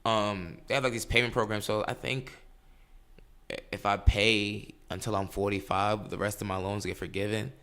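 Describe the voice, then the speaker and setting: A man in his late twenties co-hosting a casual podcast chat, animated and swearing freely as he acts out his own thoughts. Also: lowish voice, normal speed. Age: late 20s. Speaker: podcast co-host. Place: New York City.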